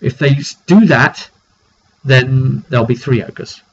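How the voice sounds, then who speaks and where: A man giving an informal recap of a tabletop roleplaying session as its game master, telling it emphatically with dramatic pauses. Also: mid-range voice. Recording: dull lo-fi sound with clear noise.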